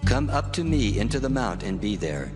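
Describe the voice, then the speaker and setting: A man voicing God in a dramatized scripture recording, with a deep, solemn, commanding delivery. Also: very animated, moderate pace. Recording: reverberant voice over music.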